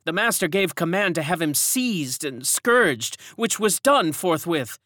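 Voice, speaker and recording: A woman voicing an old servant woman who tells what she knows in a brisk, matter-of-fact way, a little higher than her own voice. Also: animated, a bit bright, dry recording, clean studio sound. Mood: knowing. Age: older. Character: old servant woman, knowing and sure of herself.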